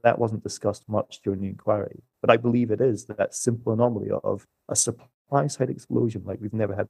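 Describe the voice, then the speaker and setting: A man's voice: mid-range voice, gravelly, dark tone, slightly rough, no room sound, low-quality recording. A man with a British accent explaining his argument as an economist guest on a podcast, emphatic and rhetorical, laying out structured points.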